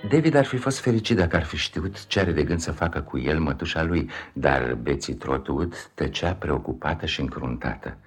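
A man's voice: a bass voice, lively intonation, hardly any pauses, breathy edge, faint music behind, heavily acted. A man giving scripted, storybook narration in a 1950s radio drama. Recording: 1950s radio archive recording.

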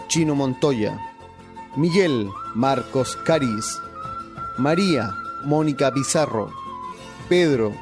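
A man reading scripted radio drama credits as an announcer. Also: mid-range voice. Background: music with flute.

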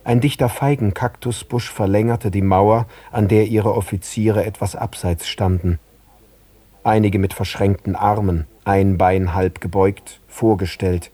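An actor narrating a literary radio play as a sober, measured observer. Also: male voice, fairly low pitch, fairly even intonation, warm tone, breathy edge, no room sound. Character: male narrator, sober observer recalling scenes in detail.

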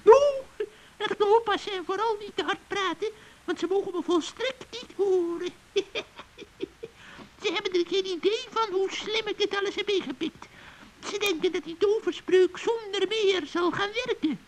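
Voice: very high voice, a little slow, rough recording. A performer playing a sly old forest witch in a children's radio play, gloating and giggling as they mutter to themselves. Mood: gleeful scheming.

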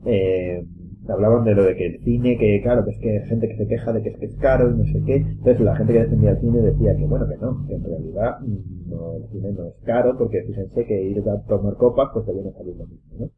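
A man's voice: fairly low pitch, fairly quick, few pauses. A man with a Castilian Spanish accent in casual podcast chat among friends, rambling and hesitant, on a dull, noisy lo-fi recording. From Spain. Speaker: podcast panelist.

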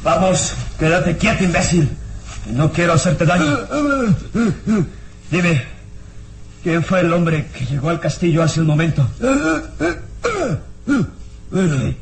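A man's voice: on the high side, takes it slowly, pauses often, a little hiss or hum. A man playing a hunted, brutish killer in a radio serial, bullying and urgent, on an old recording. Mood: hushed menace.

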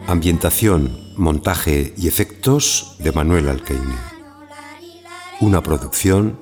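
A man's voice: extremely low pitch, expressive, slow, a little mellow. A man from Spain reading scripted credits as a radio announcer. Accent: Spanish, from Spain. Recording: clear music behind the voice.

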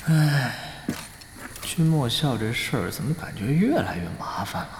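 An actor playing an excitable, exasperated young male guild leader in an online-game audio drama. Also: mid-range voice, moderate pace, a little hiss or hum. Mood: worried, musing. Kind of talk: Chinese audio drama acting. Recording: roomy reverb, music bed. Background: background music.

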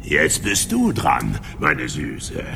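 An actor in a radio play voicing a huge, ugly, cunning giant, gravelly and breathy, self-pitying and cruel, swinging between whining whispers and shrieks. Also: male voice, rumbling low, wildly swooping pitch, very slow, background noise, heavily acted. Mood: gloating.

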